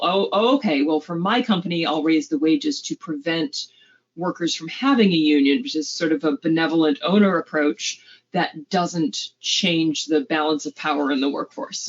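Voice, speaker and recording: A woman asks a long, thoughtful question in an online class, politely and discursively. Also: low-pitched. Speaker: class participant. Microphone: video call. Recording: dull lo-fi call audio.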